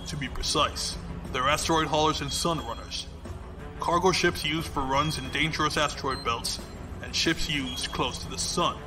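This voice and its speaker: A man's voice: mid-range voice, natural voice. Composed, shrewd fleet commodore, played by a man, music behind. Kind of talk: science fiction audio drama acting.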